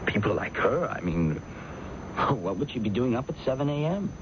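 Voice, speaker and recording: An actor playing a timid, flustered office worker in a radio drama, hesitant, deferential and stammering. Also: male voice, mid-range voice, very animated, normal speed, natural voice. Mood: nervous, hesitant. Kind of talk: old-time radio drama acting. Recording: dull, noisy old radio broadcast.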